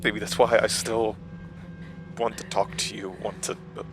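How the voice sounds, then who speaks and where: A man's voice: on the low side, little pitch movement, normal speed, over music, natural voice. A man acting in an audio drama as a troubled, anxious questioner who speaks in hesitant, broken, stammering phrases. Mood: strained, insistent.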